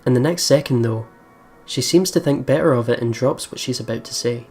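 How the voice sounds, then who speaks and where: A let's play host giving casual, excitable game commentary and reading a visual novel's narration aloud. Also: mid-range voice, a little fast, slightly rough. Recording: game music behind commentary. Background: visual novel game music.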